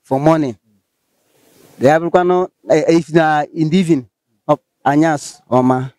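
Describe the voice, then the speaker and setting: A man's voice: fairly high pitch, normal speed, darkish tone, no room sound. A man from Rivers State, Nigeria, speaking with a Nigerian Pidgin accent and answering hesitantly, with many fillers and restarts. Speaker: interviewee. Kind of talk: casual street interview.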